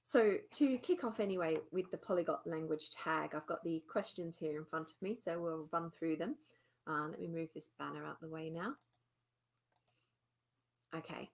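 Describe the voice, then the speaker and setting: Chatty woman vlogger with a lower voice. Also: normal speed, vocal fry. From England. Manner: chatty, many ums. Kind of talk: casual chat. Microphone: desktop mic with webcam.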